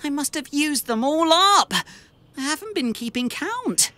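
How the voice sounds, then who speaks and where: A woman's voice: high voice, lively intonation, unhurried, bright, forceful tone, no room sound, heavily acted. A woman voicing a cheerful, helpful young boy steam engine in a children's cartoon, earnest and exclamatory. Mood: puzzled, disappointed. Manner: earnest, wide-eyed, exclamatory.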